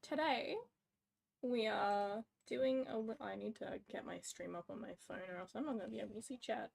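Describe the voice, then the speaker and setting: A woman's voice: medium pitch, moderate pace, vocal fry, darkish tone. A woman co-hosting a casual livestream, scattered and self-mocking as she talks herself through her own fumbles. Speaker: livestream co-host.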